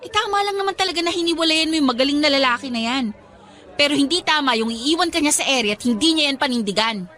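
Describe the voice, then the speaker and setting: A woman's voice: high voice, expressive, fast talker, bright, forceful tone, some chatter behind. An actress playing a loyal, morally firm young woman best friend in a Tagalog radio drama, emphatic and pleading. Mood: indignant.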